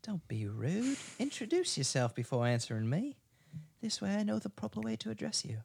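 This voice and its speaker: A man voicing a smooth, menacing adult male crime boss in a read-through comedy skit, speaking with mock politeness. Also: high-pitched, very animated, normal speed, darkish tone, no echo, pitched above the performer's own voice, exaggerated voice. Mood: stern.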